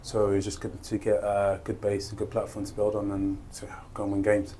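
Laid-back young footballer, low flat voice. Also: male voice, brisk, a little hiss or hum. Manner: laid-back, modest, short answers with fillers. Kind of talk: post-match interview. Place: indoor interview room by a sponsor board. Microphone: lapel mic.

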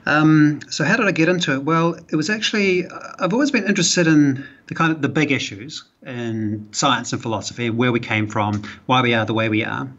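A man's voice: medium pitch, a little fast, hardly any pauses, a bit bright. A man from New Zealand with a New Zealand accent, a guest author explaining his work in a thoughtful, conversational way. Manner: thoughtful, explanatory, with ums and restarts. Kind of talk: conversational interview. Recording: dull lo-fi audio.

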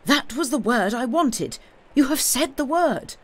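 A man reading an audiobook, voicing a high-strung, agitated young male curate who speaks in an excitable, stammering, unsteady way. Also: normal speed. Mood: excited, triumphant. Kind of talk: audiobook character dialogue.

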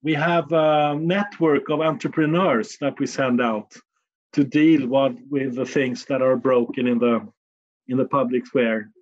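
A man from Sweden with a Stockholm accent, a non-native English speaker answering interview questions in a measured, plain-spoken way with small slips. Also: medium pitch, fairly even intonation, highs cut off. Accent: Stockholm Swedish. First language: Swedish. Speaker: guest, communications manager.

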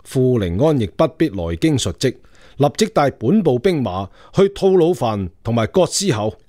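An actor playing a commanding Qing emperor in a radio drama, speaking in an imperious, measured manner. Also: male voice, mid-range voice, sing-song, warm tone, no room sound, studio quality, lightly acted. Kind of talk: Cantonese radio drama acting.

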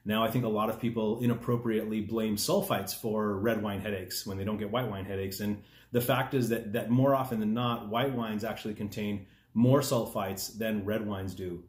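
A man's voice: fairly low pitch, steady tone, normal speed, hardly any pauses, a little mellow. A man in his forties, a winemaker, explaining wine questions in a friendly casual way, often restarting his sentences, filmed across a table indoors. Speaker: winemaker answering viewer questions. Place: dining room at a table, indoors. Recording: echoey room.

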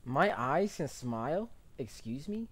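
A young adult man giving casual, jokey, slangy reaction commentary in an excitable way, often repeating himself. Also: a little high, unhurried, crowd noise. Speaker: reaction video host. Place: small bedroom indoors. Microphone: desk microphone with pop filter.

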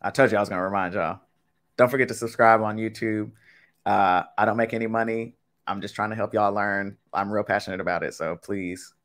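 Casual low-voiced man teaching live. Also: fairly even intonation, a little fast, a bit bright. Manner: casual, chatty, talks to chat with y'all. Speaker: Spanish teacher. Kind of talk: live-streamed game lesson.

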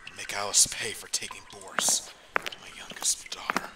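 A man playing a weary, worried father in an amateur comic dub, speaking haltingly. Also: fairly low pitch, little pitch movement, in a whisper, lightly acted. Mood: weary regret. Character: weary, worried father lost in a ruined studio. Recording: loud background noise.

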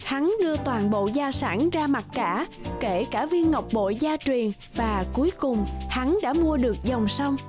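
A woman reading scripted storybook narration for an animated folk tale. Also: high-pitched, unhurried, music in the background.

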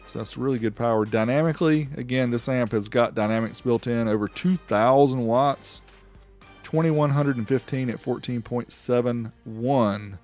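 Laid back sounding guy doing a gear review, mellow voice, bit of music behind him. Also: medium pitch, normal speed. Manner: informal, plainspoken. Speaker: host reviewing car audio amplifiers. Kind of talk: casual review voice-over.

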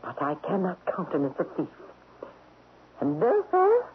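An actress playing an imperious, wealthy widow in a radio drama, sharp and unyielding, with precise, haughty, formal diction. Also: female voice, lowish voice, wildly swooping pitch, normal speed, slightly rough, full character voice. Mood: coolly accusing. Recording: muffled lo-fi old radio broadcast.

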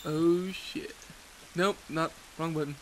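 A young man with a stuffy, congested voice chats casually over his gameplay, joking at his own mistakes. His voice sits a little high, with faint hiss and the game's rain behind him. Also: fairly even intonation, takes it slowly, a bit bright, rough recording. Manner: rambling, jokey, self-mocking. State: congested from allergies. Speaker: let's play host.

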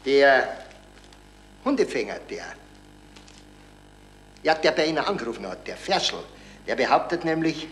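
A shrewd Bavarian policeman in a radio drama. He is dry and needling, in a medium-pitched voice with lively rises and falls. The old recording has clear background noise. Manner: dry, unhurried, wry. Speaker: police officer. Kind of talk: radio crime drama acting. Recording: noisy 1970s radio recording.

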